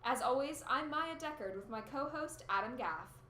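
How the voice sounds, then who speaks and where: A woman's voice: sits high, lively intonation, moderate pace, sharp tone. A woman acting as a cynical, irritable female radio co-host in an amateur radio play, with an exasperated, sardonic manner. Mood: presenting. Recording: roomy echo, faint background noise.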